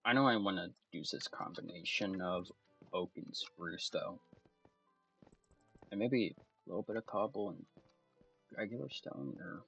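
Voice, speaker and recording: A man's voice: low-pitched, unhurried, vocal fry, long pauses, bright, forceful tone, husky, highs cut off, soft background music. A man giving casual commentary while playing a game, thinking aloud as he goes. His voice is starting to tire. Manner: thinks aloud, meandering and self-talking. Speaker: let's play gamer.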